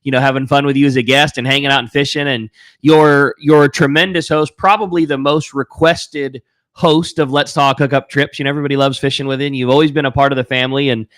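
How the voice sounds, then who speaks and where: A man hosting a radio talk show, loud and boisterous, talking with upbeat enthusiasm on a studio microphone. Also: medium pitch, fairly even intonation, fast talker, no room sound. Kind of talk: casual radio banter.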